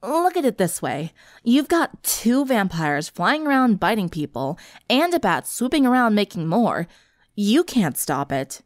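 Smug female vampire, voiced by a woman storyteller; slick, taunting, confident, lower and quieter delivery. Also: expressive, takes it slowly, few pauses, no echo, studio quality, heavily acted.

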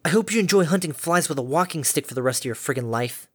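A woman's voice: low voice, lively intonation, fast talker, breathy edge. A woman voicing a cocky, foul-mouthed female pony adventurer with a sarcastic edge. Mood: taunting.

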